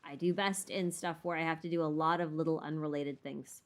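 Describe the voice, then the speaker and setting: A woman's voice: deep voice, fairly even intonation, brisk. A woman hosting a casual live Q&A stream while gaming, chatting in a playful, self-deprecating, rambling way with muttered asides.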